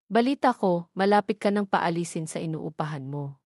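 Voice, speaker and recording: A woman's voice: on the low side, lively intonation, normal speed, a little mellow, dry recording, clean studio sound. A woman with a Filipino accent voicing a rich, haughty businesswoman in an audio drama, cold and blunt. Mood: cold, taunting.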